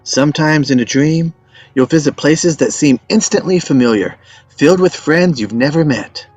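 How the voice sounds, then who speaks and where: A man's voice: on the high side, moderate pace, darkish tone, husky, no echo, soft background music. An actor playing an earnest, adventurous young man who tells his story in a screenplay table read. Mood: reflective.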